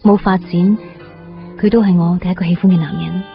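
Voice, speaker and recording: An actress playing a tender, wistful young woman in a radio drama, speaking softly and sincerely with a Hong Kong Cantonese accent. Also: lowish voice, fairly even intonation, fairly quick, creaky. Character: tender young woman, heart-transplant survivor, newly in love. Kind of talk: radio drama monologue and dialogue. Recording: slightly muffled, faint music underneath.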